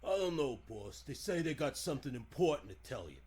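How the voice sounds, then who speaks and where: A man voicing a surly, dim gangster henchman, gruff and slangy, in 1940s radio style. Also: medium pitch, very animated, brisk, noisy background, natural voice. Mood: suspicious.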